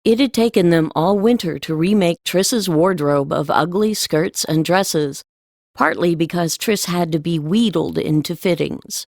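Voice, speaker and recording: A woman's voice: lowish voice, unhurried, a little mellow, no room sound, clean studio sound. A woman reading scripted storybook narration as an audiobook narrator.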